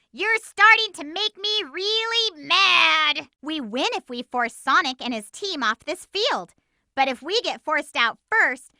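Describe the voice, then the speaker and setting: A woman's voice: very high-pitched, bright, forceful tone, no echo, studio quality, full character voice. A woman voicing a plucky, bossy young female cartoon hedgehog in a video game, with a peppy, emphatic, girlish delivery. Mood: feisty, defiant. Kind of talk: animated game voice lines.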